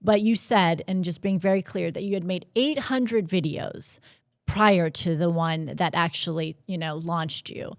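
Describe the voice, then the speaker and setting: A woman's voice: lowish voice, unhurried, dry recording, studio quality. A woman podcast host who asks long, hesitant questions full of fillers. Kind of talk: casual interview chat.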